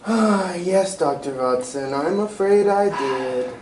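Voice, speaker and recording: An amateur actor, a man, playing an anxious, proud European king in a radio drama style, formal, grave and halting. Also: sits high, expressive, darkish tone. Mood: flustered, defensive. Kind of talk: 1940s-style radio mystery acting. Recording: roomy, distant stage recording with faint noise.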